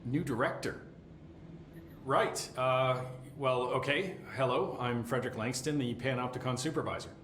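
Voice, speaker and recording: A man's voice: medium pitch, moderate pace, fairly bright tone, a little hiss or hum, lightly acted. An actor playing a flustered male supervisor who stammers and chats like a fussy tour guide in a game cutscene. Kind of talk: video game cutscene acting. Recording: echoey room.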